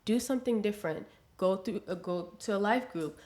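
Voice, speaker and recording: A woman's voice: medium pitch, fairly quick, a little mellow. A woman from the Dominican Republic speaking casually as a podcast guest, giving advice in an earnest, encouraging way. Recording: roomy, echoing indoor sound.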